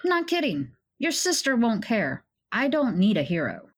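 A woman plays a hard-bitten female mercenary in a sci-fi audio drama, loyal but quick to threaten. She talks in short, blunt, dry lines. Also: a little high, wildly swooping pitch, breathy edge, exaggerated voice.

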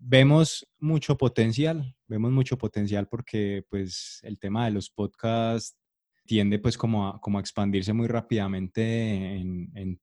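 A man's voice: fairly low pitch, fairly even intonation, unhurried, a little mellow. A man, a podcast co-host on a video call, earnestly and steadily explaining plans. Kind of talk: casual chat over a video call.

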